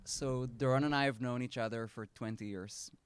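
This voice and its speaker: A man with an Israeli accent, a startup founder speaking at a live event, earnest and measured with pauses and restarts. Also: medium pitch, steady tone, a little hiss or hum.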